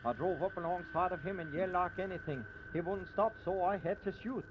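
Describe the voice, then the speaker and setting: An actor playing a blustering, folksy country sheriff in a 1950s radio drama. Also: male voice, high voice, fairly quick, natural voice. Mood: gruff, defensive. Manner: blustering, colloquial. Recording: dull, hissy vintage radio recording.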